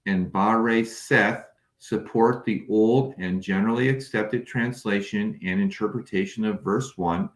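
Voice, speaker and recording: Male academic guest, native English speaker, low register, careful and precise delivery, echoey room. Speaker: Hebrew scholar, interview guest. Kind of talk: scholarly explanation, sometimes reading aloud. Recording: echoey, roomy sound.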